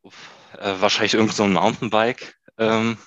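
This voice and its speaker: A man in his late twenties from Berlin, answering interview questions in a relaxed way, with many fillers and short laughs. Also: on the low side, normal speed, lo-fi sound. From Berlin, Germany. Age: 20s. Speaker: guest. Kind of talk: casual interview chat.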